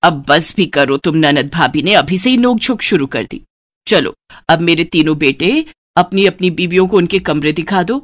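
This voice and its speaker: A woman's voice: fairly low pitch, animated, moderate pace, no echo, clean studio sound, voice pushed down, lightly acted. A woman voicing a middle-aged mother-in-law, a fond but exasperated matriarch with a motherly, chiding manner, in a story. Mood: firm.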